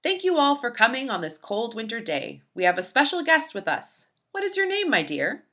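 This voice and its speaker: A woman's voice: fairly high pitch, big pitch swings, normal speed, sharp tone, full character voice. A woman voicing a kindly woodland doe, a gracious and polite hostess in a children's storybook. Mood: welcoming. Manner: polite, welcoming, encouraging.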